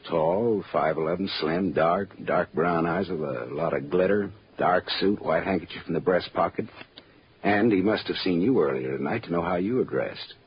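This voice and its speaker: An actor with an American accent playing a tough, wisecracking private detective in a clipped, wry 1940s radio noir style. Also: male voice, low voice, normal speed, a little hiss or hum, lightly acted. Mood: firm, pressing. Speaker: lead actor and narrator. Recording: muffled old radio recording with music.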